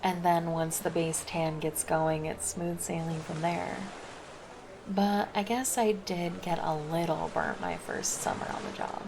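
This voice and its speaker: A woman's voice: fairly low pitch, steady tone, measured pace, background noise. A woman voicing a laid-back, flirty female lifeguard in an ASMR roleplay, chatting casually and teasing. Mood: easygoing.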